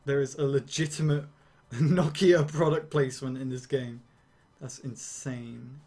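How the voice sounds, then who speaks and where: Gravelly young man ranting. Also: medium pitch, fairly even intonation, a little mellow. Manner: ranting, rambling, swearing, quick laughs. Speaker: streamer. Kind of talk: casual gameplay commentary.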